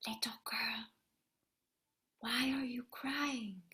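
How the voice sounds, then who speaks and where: Woman acting a hushed, gentle motherly moon. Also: fairly high pitch, fairly even intonation, extremely slow, exaggerated voice. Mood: tender, consoling. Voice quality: hushed, whispered. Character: gentle motherly female moon, tender and consoling.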